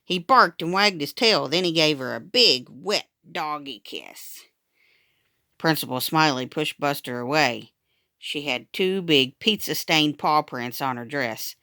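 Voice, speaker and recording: Lively, bright woman reading a children's story. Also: sits low, unhurried, no room sound, studio quality. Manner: expressive, acts out dialogue. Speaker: read-aloud storyteller. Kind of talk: animated children's story read-aloud.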